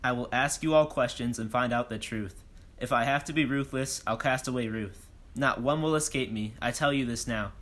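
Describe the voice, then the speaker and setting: An actor playing a bold, self-important police detective, declaiming in rhyme in a comic audio drama. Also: male voice, medium pitch, moderate pace, fairly bright tone, close to an everyday voice. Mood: accusing, boastful. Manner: declamatory, questioning, speaks in rhyme.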